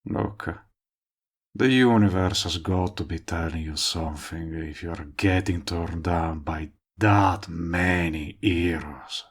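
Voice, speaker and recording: Guy acting as a cocky villain with a crush, low gravelly voice, teasing, slow drawn out talking, romance audio roleplay. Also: exaggerated voice. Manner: teasing, smug, coaxing. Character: cocky, lovestruck male villain wooing a longtime friend. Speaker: voice actor. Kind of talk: intimate audio roleplay acting addressed to the listener.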